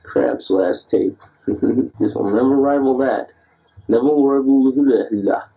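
Man, early 30s, tipsy late-night rambler, husky tone, muffled tape from off-mic. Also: medium pitch, slow pace, recorded from a distance. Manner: rambling, self-deprecating, restless. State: tipsy after beers. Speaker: diarist recording himself. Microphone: answering machine recorder.

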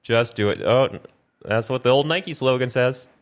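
A man's voice: mid-range voice, normal speed. A man hosting a gaming show, chatting casually and encouraging the others as they play. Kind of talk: casual chatty banter while playing video games.

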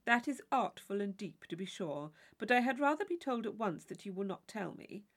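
A woman voicing a witty young gentleman in a storybook reading, articulate, arch and playfully mock-serious. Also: medium pitch, expressive, normal speed, lightly acted. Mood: teasing. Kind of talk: audiobook character dialogue.

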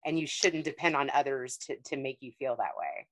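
A woman with a notably low voice hosts a podcast interview, recorded dry and close. She affirms her guest warmly and asks short questions. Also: expressive, fairly quick, no echo. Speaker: podcast host. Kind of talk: interview chat.

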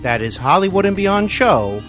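A man hosting a podcast, speaking in a polite, somewhat halting way. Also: sits high, big pitch swings, music in the background. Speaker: podcast host. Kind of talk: interview talk and show announcements.

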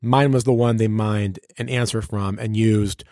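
A man's voice: on the low side, fairly even intonation, measured pace, darkish tone, no room sound, clean studio sound. A man who works as a radio personality and DJ, telling stories casually and colloquially with a showman's turn of phrase as an interview guest. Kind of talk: casual storytelling in a sit-down interview.

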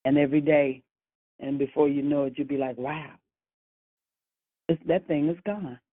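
A woman in her sixties hosting a church prayer call, slowly encouraging callers with amens and hallelujahs. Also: low voice, fairly even intonation, no echo. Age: 60s. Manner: slow, encouraging, churchy exclamations. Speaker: prayer line host. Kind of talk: church phone call fellowship. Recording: phone line.

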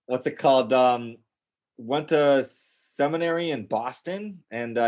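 An American man speaking as a podcast guest in a casual interview, informal and enthusiastic, often repeating words for emphasis. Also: mid-range voice. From United States, north of Chicago. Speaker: podcast guest, Greek Orthodox priest.

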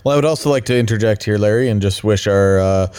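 Man, mellow mid voice, dry terse deadpan sidekick. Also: fairly low pitch, fast, smooth. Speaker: comedy co-host. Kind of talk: deadpan improvised comedy chat. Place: outdoors on a lookout.

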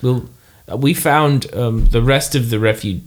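A man's voice: mid-range voice, unhurried. A man playing a tabletop game, talking casually and improvising.

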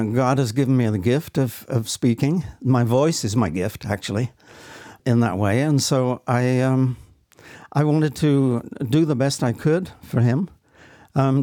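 A man from England with an English accent, giving halting, modest, unscripted answers as an interview guest. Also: mid-range voice, warm tone, no room sound, clean studio sound. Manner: halting, hesitant, modest with repeated words. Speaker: interview guest, amateur stage actor.

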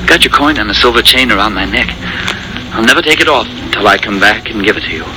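An actor playing an earnest young soldier in love, in a sincere, romantic old-time radio drama style. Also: male voice, on the low side, expressive, quick pace, lightly acted. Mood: earnest, urgent. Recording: noisy old radio recording.

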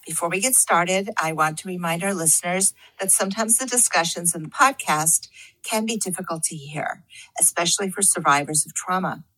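A woman co-hosting a podcast, reading a prepared content warning in a calm, careful way. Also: on the low side, low-quality recording. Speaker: podcast co-host. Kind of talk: scripted announcement.

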